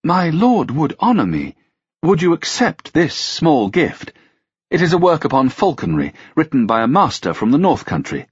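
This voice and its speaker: A smooth-talking young lady of the court pleads and flatters in a raised, gentle voice, as a male reader puts her on. The recording is dry and a little dull. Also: on the high side, moderate pace, no room sound, pitched above the performer's own voice, exaggerated voice. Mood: coaxing. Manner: courtly, sweetly persuasive.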